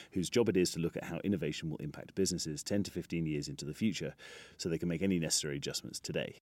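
A very deep male voice, recorded close and dry. He hosts a podcast, reading prepared narration and putting measured questions to guests. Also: a little mellow, no room sound. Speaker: podcast host, interviewer. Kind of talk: scripted narration and interview questions.

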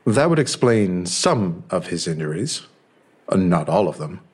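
An actor playing a stern, suspicious hospital doctor, blunt and probing, in a podcast audio drama. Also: male voice, sits low, sing-song, unhurried, darkish tone, husky, full character voice. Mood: accusing. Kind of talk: full-cast audio drama.

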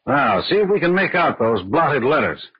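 An actor playing a brisk, authoritative FBI agent in a 1940s radio crime drama, with a husky voice. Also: male voice, medium pitch, big pitch swings, slight background noise, close to an everyday voice. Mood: intent, deducing. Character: businesslike, decisive male FBI special agent. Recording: muffled old-time radio recording.

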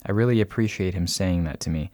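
A man hosting a casual podcast, reading out a listener's letter and talking thoughtfully with many pauses and fillers. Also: sits low, dark tone, no echo. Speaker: podcast host.

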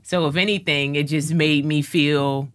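A woman actor and musician talking in an interview, lively and expressive, often pushing her voice up when she quotes or acts out lines. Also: low voice, unhurried, clean tone. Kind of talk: animated interview talk.

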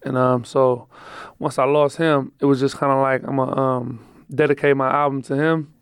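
Laid-back rapper with a smooth voice. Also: male voice, medium pitch, level tone, normal speed, no room sound, studio quality. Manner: laid-back, rambling, slangy speech. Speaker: rapper guest. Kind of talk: casual interview storytelling.

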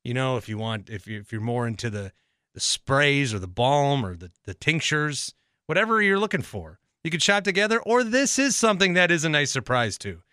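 An American man hosts a casual podcast in a bright, forceful voice. His sound is clean and very dry, close and without room echo. He talks conversationally, rambling a little as he thinks aloud. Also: mid-range voice, very animated, moderate pace, clean tone. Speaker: podcast host. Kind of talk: casual solo podcast talk with ad reads.

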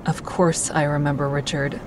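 A woman's voice: low voice, little pitch movement, moderate pace, a little mellow, a little hiss or hum, lightly acted. An actress playing a guarded, introspective woman who narrates her own story in an audio drama, with wry understatement. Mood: careful, reassuring. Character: guarded woman, a stand-up comic and crisis-line volunteer, narrating. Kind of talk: first-person audio drama narration and dialogue.

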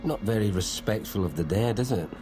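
A man's voice: lowish voice, animated, brisk, music in the background, lightly acted. An actor playing a sardonic, bewildered man in an audio drama, questioning everything in a naturalistic way.

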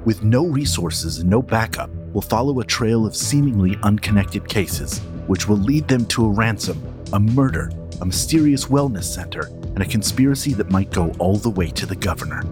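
Man, lowish pitch, dramatic scripted trailer narration, music behind. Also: lively intonation, moderate pace. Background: music under the voice.

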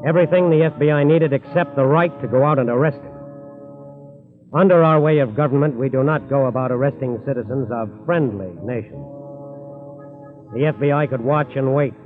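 A man's voice: medium pitch, fairly quick, close to an everyday voice. A man narrating a 1940s radio crime drama in a solemn, emphatic, dramatic style. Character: grave, dramatic male documentary crime narrator. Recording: tinny 1940s radio recording. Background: music.

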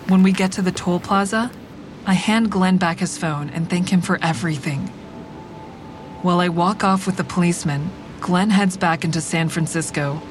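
An actress narrating in first person as a grieving, quietly deceptive woman in an audio drama, measured and introspective, with a steady vehicle rumble behind. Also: lightly acted.

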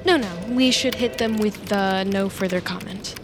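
A decisive office woman played by an actress speaks in a medium-pitched, businesslike voice. She is brisk and image-conscious in a naturalistic audio drama, with clear music behind her. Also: lightly acted.

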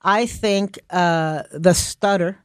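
A woman's voice: fairly low pitch, slow pace, clean tone, no room sound, studio quality. A woman guest on a political chat show who talks emphatically and opinionatedly in long runs.

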